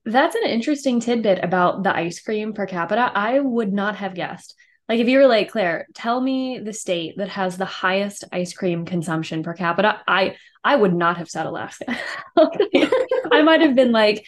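Female podcast host, mid-pitched, friendly and curious, given to long questions. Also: normal speed. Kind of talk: casual interview chat.

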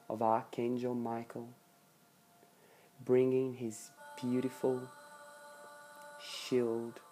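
A meditation guide speaking soothingly and softly in short phrases with long pauses between them. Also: mid-range voice, poor audio. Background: background music.

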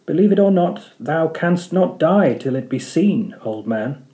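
A man's voice: a little high, expressive, a little slow, warm tone, pitched above the performer's own voice. Man acting a solemn, ominous seafaring prophet. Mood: grave warning. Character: mysterious prophetic male harpooner, solemn and ominous.

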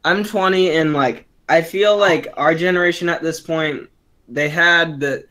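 A man's voice: fairly high pitch, measured pace, sharp tone. A man of twenty co-hosting a casual podcast, talking in a rambling way with many restarts and fillers. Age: young adult. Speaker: podcast co-host. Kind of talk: casual chat.

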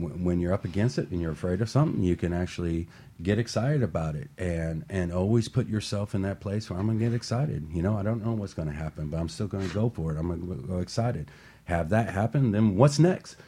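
A man's voice: low voice, slightly rough. A man hosting a motivational radio talk show, chatting casually and upbeat with a co-host in a studio. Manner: upbeat motivational, relaxed with fillers.

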